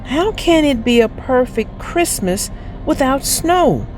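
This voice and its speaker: A woman's voice: sits high, sing-song, slow pace, darkish tone, faint music behind, voice pushed up, heavily acted, rough recording. A woman voicing an earnest, eager young child in a children's storybook. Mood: fretful. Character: earnest young schoolboy fretting over perfection.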